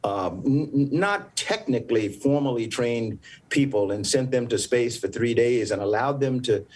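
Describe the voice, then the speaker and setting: Measured older man from the American South. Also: mid-range voice, animated, darkish tone. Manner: measured, explanatory, with frequent restarts. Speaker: former astronaut, panel guest. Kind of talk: panel interview answer.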